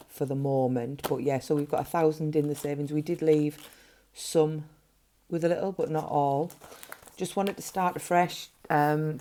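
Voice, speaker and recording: A middle-aged woman with a gruff voice, chatting casually as a budgeting vlogger while counting money at a table at home. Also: deep voice, dark tone, slight background noise. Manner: chatty, counting notes under her breath. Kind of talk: casual cash-stuffing vlog narration. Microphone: overhead camera framing hands.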